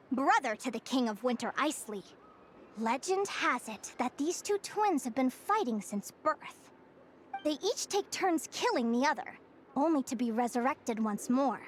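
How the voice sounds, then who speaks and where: A woman's voice: high-pitched, sing-song, a little slow, a little hiss or hum. A woman voicing a cheerful young naturalist in a video game, lecturing to children in an encouraging way. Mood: storytelling. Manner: bright, encouraging, teacherly.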